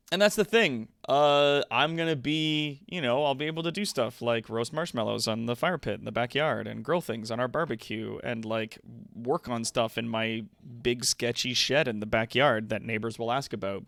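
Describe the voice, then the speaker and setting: Casual man streamer, low smooth voice. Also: no room sound, clean studio sound. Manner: sweary, animated asides. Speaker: livestream host. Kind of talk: casual chat with stream viewers. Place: indoor home streaming room.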